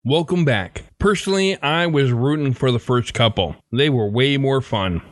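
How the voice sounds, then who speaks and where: Medium-pitched man hosting a podcast. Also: expressive, moderate pace, dry recording, studio quality. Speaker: podcast host. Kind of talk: scripted podcast outro.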